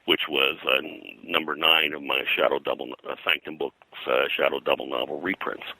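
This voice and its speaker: Very deep, forceful older man. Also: fairly even intonation, dry recording, rough recording. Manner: halting and digressive, frequent throat clearing. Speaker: guest, pulp and comics historian. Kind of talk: interview conversation, reminiscing about his work.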